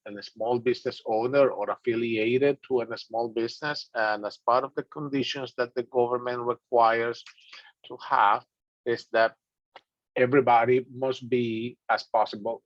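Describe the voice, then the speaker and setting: A man's voice: medium pitch, no room sound, dull audio. A middle-aged man from the Dominican Republic with a Dominican Spanish accent, patiently teaching a business class with many fillers. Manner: patient, repeats himself, fillers like "you know" and "okay". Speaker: instructor.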